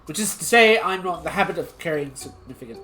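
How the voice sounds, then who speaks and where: A streamer voicing a rough male monster hunter in an Australian accent, with a folksy, slangy drawl. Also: medium pitch, animated, fast talker, over music, lightly acted. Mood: matter-of-fact. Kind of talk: reading game dialogue aloud on stream. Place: home streaming setup.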